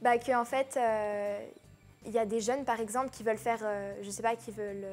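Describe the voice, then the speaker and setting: A woman's voice: a little high, steady tone, moderate pace, darkish tone, soft background music. A teenage girl being interviewed about her songs, hesitant, with many fillers and restarts. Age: teens. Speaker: guest singer. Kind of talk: casual interview.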